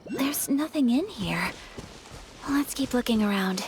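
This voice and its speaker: A woman playing a gentle, thoughtful young noblewoman in a video game, speaking in a polite, measured and formal way. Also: fairly high pitch, animated, slight background noise. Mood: pensive. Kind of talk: video game character acting. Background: background music.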